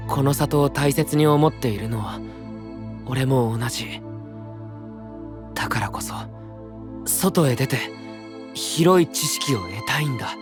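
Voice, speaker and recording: A man playing an earnest, troubled young knight of a secluded kingdom in a Japanese fantasy voice drama, soft-spoken, measured and sincere. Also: mid-range voice, expressive, over music. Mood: earnest, resolute.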